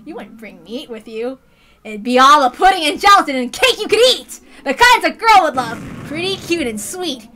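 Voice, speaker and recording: A woman's voice: high voice, sing-song, normal speed, sharp tone, a little hiss or hum, exaggerated voice. A woman voicing a scheming, teasing boy who makes grandiose, insistent speeches in a visual novel reading. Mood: taunting. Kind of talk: animated visual novel read-aloud.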